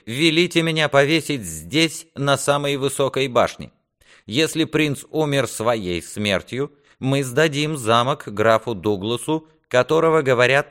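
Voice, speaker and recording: A man's voice: mid-range voice, fairly bright tone, pitched above the performer's own voice, natural voice. A man voicing a resolute, defiant squire in a storybook, speaking in a firm, solemn, declamatory way. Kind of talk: audiobook character acting.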